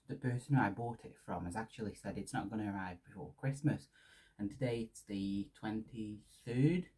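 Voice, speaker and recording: A young man with a Hungarian accent tinged with Lancashire chats loosely as a podcast host, tripping over restarts and filling gaps with ums. His voice is mellow and warm, with a soft husky edge. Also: medium pitch, poor audio. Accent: Hungarian with Lancashire English. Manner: rambling, many restarts and ums, laughs shyly. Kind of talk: casual solo podcast chat.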